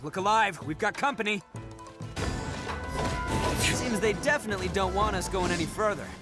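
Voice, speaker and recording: A man's voice: high-pitched, moderate pace, lightly acted. A man voicing a brash, cocky but good-hearted oni gang boss in a video game, boisterous, slangy and swaggering. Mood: cocky, determined. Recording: music behind the voice.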